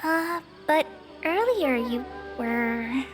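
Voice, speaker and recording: Young girl ranger, high pitch, hesitant, video game character, clear background music. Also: big pitch swings, moderate pace. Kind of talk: video game cutscene acting.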